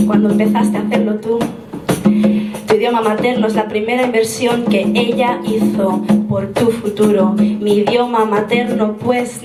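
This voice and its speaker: A young woman in her twenties from Spain, of Moroccan family, performing spoken word poetry in a slow, rhythmic, deliberate way on a live stage. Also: medium pitch. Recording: roomy live room, distant mic, loud music. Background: loud instrumental music.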